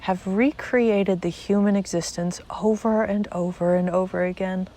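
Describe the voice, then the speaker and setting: A woman narrating a spiritual guided meditation in a measured, deliberate way, pausing between short phrases. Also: medium pitch, fairly even intonation, mellow.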